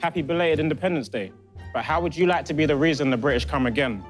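A man in his twenties delivering a joking pickup line in a dry, measured way. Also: fairly high pitch, over loud music. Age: 20s.

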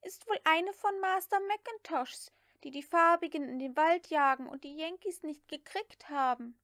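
Woman voicing a frightened, high-pitched young maid. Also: normal speed, fairly bright tone, dry recording, studio quality. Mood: calmer. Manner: broken grammar, pleading protests. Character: frightened, whining, stubborn young maid girl. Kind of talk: audiobook character acting.

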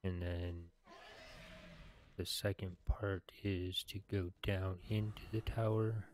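A man in his thirties reading video game quest text aloud in a halting, deliberate way, with some plain commentary. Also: low voice, fairly even intonation, darkish tone.

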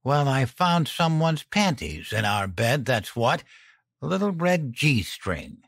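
A male reader puts on a distraught mother in an audiobook. The voice is high, harsh and tight, strained with anguish yet defiant. It sounds close and dry. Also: sing-song, takes it slowly, creaky, husky, no room sound, heavily acted. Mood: tense, accusing.

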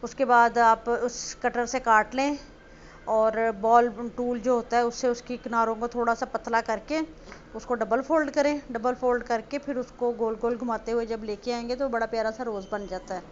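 A woman's voice: a little high, fairly even intonation, normal speed. A woman from Punjab, Pakistan, casually explaining cake decorating step by step in a homely, chatty way. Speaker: home baker teaching cake decorating. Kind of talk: casual voice-over tutorial. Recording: slightly muffled voice-over with faint noise.

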